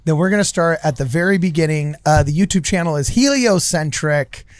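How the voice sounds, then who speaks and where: A man's voice: fairly high pitch, a little fast, dry recording. A man moderating a casual reaction panel in a jokey, slangy way, close on the microphone. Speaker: panel host.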